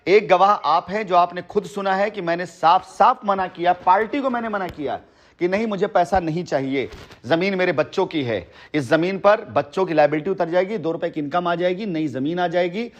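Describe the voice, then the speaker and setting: A man's voice: high-pitched, normal speed. A man talking on a phone call in long, persuasive stretches, earnest and emphatic, often repeating himself. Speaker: company head addressing a customer by phone. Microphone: telephone.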